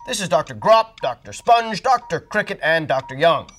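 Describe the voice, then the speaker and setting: A man voicing a fussy, pompous doctor in a storybook reading, loud and brisk. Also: a little high, very animated, a little hiss or hum, voice pushed up. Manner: rattling off lists loudly and briskly. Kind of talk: storybook read-aloud voice.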